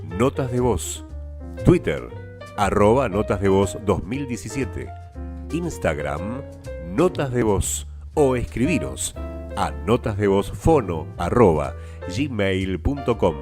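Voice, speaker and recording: A man's voice: fairly low pitch, sing-song, slow. A man reading a produced radio promo as an announcer. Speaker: station announcer. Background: music.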